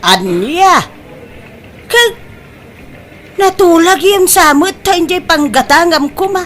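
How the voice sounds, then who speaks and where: A woman's voice: very high-pitched, animated, slow, fairly bright tone, a little hiss or hum, heavily acted. A woman with an Ilocano accent playing a patient, advising mother in a comedy radio-style drama, coaxing and admonishing. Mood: questioning.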